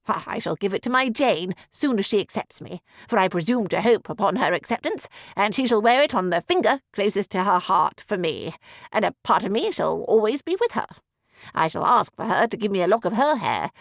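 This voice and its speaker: A woman voicing a cheerful, affable young gentleman in a storybook reading, speaking slowly and heartily. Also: medium pitch, lively intonation, gravelly, no echo, studio quality. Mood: proud.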